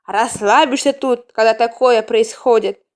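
Lady doing a mama cat in a storybook, highish voice, torn and emotional, kinda pleading. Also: normal speed, darkish tone, close to an everyday voice. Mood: in pain. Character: expectant mother cat, anguished, conflicted, loving.

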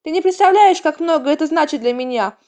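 A woman's voice: mid-range voice, normal speed, vocal fry, close to an everyday voice. A woman voicing a tender, grateful female cat in an audiobook story, with a gushing, emotional manner. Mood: grateful, elated. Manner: gushing, soft-hearted.

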